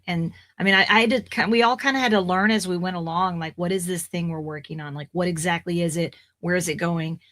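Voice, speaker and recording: A woman in her forties, a voice actor guest on a podcast, chatting in a rambling way with fillers and restarts, her voice slightly raspy. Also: fairly low pitch, pushed and bright. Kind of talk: casual podcast interview.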